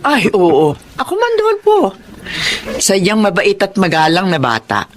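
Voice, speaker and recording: A woman's voice: mid-range voice, wildly swooping pitch, a little slow. An actress playing a protective, forgiving middle-aged mother in a Filipino radio drama. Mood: fond. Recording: faint noise behind the voice.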